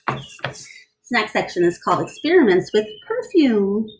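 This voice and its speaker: A woman reading a picture book aloud to children in an expressive style, pausing to question and explain things to her young listeners. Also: medium pitch, low-quality recording. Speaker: read-aloud storyteller.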